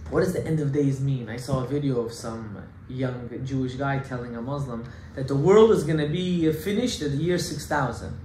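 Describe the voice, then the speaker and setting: A man giving an animated Torah class as a rabbi, emphatic and full of rhetorical questions, mixing Hebrew terms into his English. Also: mid-range voice, moderate pace, few pauses. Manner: emphatic, rhetorical questions, acts out objections loudly. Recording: echoey room with faint background noise.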